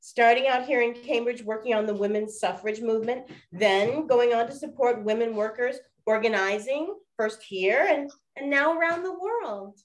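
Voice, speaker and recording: A woman's voice: on the high side, normal speed, hardly any pauses, natural voice. A woman playing a chatty, wistful lady detective of the 1920s in a radio-style play. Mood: musing.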